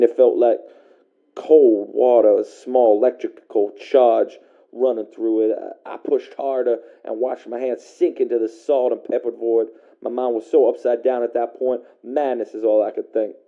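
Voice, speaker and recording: An actor voices a weary, working-class man phoning in to an eerie radio drama. His voice is middling in pitch with a heavy gravelly fry, and he tells his tale in a colloquial, hesitant way. The sound is narrow and tinny, like a call coming over the radio. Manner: folksy, colloquial, halting, hesitant delivery. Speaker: caller on a fictional radio call-in show. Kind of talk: first-person storytelling monologue, audio drama.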